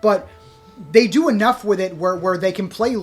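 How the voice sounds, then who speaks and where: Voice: medium pitch, normal speed. A podcast co-host discussing games casually in an earnest, rambling, self-correcting way. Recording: music playing under the voice.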